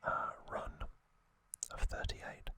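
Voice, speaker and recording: A man hosting ASMR, whispering a trivia recap in a breathy, unvoiced voice and short, halting phrases. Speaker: ASMR host.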